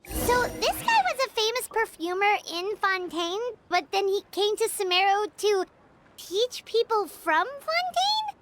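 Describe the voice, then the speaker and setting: A woman voicing a small, chirpy, childlike fairy companion in a video game, excitable and blunt. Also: very high-pitched, a little slow, very noisy, full character voice. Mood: curious, puzzled. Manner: childlike, exclaiming, speaks of self in third person.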